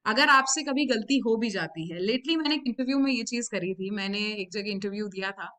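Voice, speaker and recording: A woman's voice: medium pitch, brisk, fairly bright tone. An Indian woman giving instructional coaching straight to viewers, emphatic and encouraging, repeating key advice as she goes. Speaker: body language and communication coach. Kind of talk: instructional talk to viewers, mixing Hindi and English.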